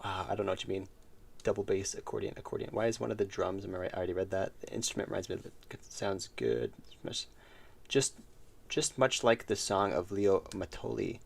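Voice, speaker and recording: A man streaming as an indie game developer, chatting casually and thinking aloud as he answers chat, with a deep voice. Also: expressive, fairly quick, vocal fry, breathy edge. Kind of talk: casual livestream commentary while making music. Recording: loud music playing under the voice. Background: loud music from his own track.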